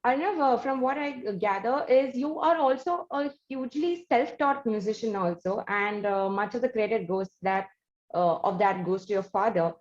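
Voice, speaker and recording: A woman with an Indian accent hosting an interview, asking long, halting questions that she keeps rephrasing in a conversational way. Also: a little high. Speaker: interviewer, show host. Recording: roomy echo, slightly dull audio.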